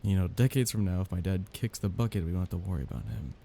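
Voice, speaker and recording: Low, creaky, hesitant young mafia heir, acted by a man. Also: expressive, a little hiss or hum. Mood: earnest, protective. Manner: hesitant, halting, apologetic, soft-spoken with nervous chuckles. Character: soft-hearted, nervous young mafia heir, lovestruck, reluctantly dominant. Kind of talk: intimate ASMR romance roleplay.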